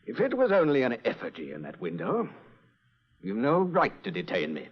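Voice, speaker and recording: An actor playing a bitter, defeated male villain, a colonel, in a vintage radio drama, speaking in a slow, spiteful way. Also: medium pitch, huge pitch swings, slightly rough. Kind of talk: 1940s radio acting. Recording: muffled vintage radio recording.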